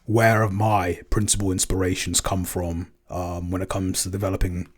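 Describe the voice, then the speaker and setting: Low-voiced man talking hesitantly. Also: little pitch movement, normal speed, breathy edge. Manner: hesitant, searching for words, repeats himself. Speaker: podcast co-creator, composer and episode writer. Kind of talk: casual Q&A chat.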